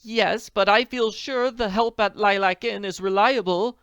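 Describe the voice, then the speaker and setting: A reader voicing an excitable woman in a storybook who keeps crying out in alarm. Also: medium pitch, measured pace, clear, resonant tone, dry recording, highs cut off, voice pushed up. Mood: reassuring. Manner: exclamatory, cries out. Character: excitable woman, the worried lady's friend.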